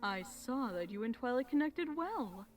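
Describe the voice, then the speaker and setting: A woman voicing a regal, motherly pony princess and mentor in a fan fiction reading, gentle, assured and formal. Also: a little high, very animated, unhurried, soft background music. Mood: kind, reassuring. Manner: formal, stately, motherly phrasing.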